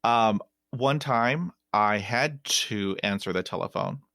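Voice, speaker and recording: A man hosting an interview who stutters, cutting words short and starting them over. He talks very slowly. The recording is dry, with no room sound. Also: fairly low pitch, vocal fry, bright, forceful tone, no room sound. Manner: stutters, with broken-off words and repeats. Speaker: podcast host. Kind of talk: casual interview talk.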